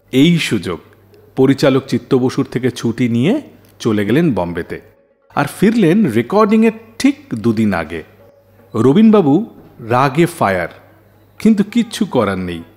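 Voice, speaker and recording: A man's voice: mid-range voice, darkish tone. A man hosting a radio show, telling scripted stories in a dramatic way with rhetorical questions. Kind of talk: scripted storytelling narration.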